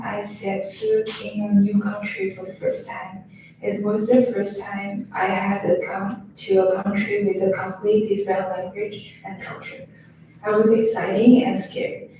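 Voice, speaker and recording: A young woman with a Chinese accent, reading her own travel story on stage in careful, halting English with restarts. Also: medium pitch, dark tone. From China. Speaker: student storyteller. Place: large hall on stage. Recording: echoey hall from far off, faint noise.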